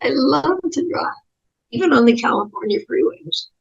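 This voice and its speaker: An 88-year-old American woman with a raspy voice, telling reflective personal stories as an interview guest in halting, thoughtful phrases. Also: high voice, animated, highs cut off. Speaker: interview guest, author and speaker.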